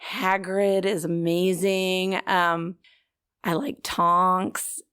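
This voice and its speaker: A woman co-hosting a book club chat, her voice hoarse and gravelly, laughing easily as she asks questions. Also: on the low side, level tone, extremely slow, fairly bright tone. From Kansas. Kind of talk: casual chat.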